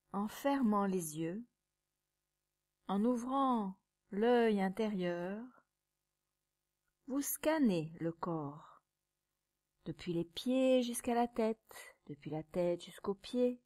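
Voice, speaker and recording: Slow, soft woman guiding meditation. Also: medium pitch. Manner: calm, measured, soothing, with pauses between short phrases. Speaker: yoga nidra teacher. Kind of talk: guided meditation.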